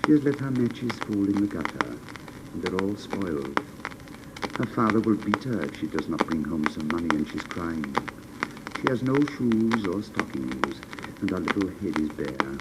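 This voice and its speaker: A man voicing a sorrowful, gentle but commanding prince, speaking slowly and softly in a storybook reading. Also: low voice, lively intonation. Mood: sad, solemn. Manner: slow, hushed, solemn pleading. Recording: noisy recording with clicks.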